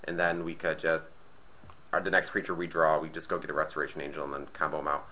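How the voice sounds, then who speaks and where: Deep-voiced man with a sick, nasal rasp. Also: monotone, quick pace, sharp tone. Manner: thinking aloud, hesitant restarts. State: sick, slightly raspy and nasal. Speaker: gameplay commentator. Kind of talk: casual card game play commentary.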